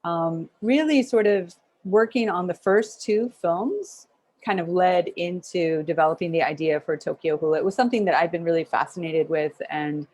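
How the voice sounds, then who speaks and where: A woman's voice: on the low side, normal speed, darkish tone. A woman documentary filmmaker answering interview questions in a thoughtful way with many fillers.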